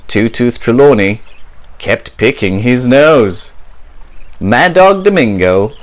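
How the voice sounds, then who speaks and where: A man reading a children's picture book aloud as a storyteller, in a theatrical, expressive way. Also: mid-range voice, slow.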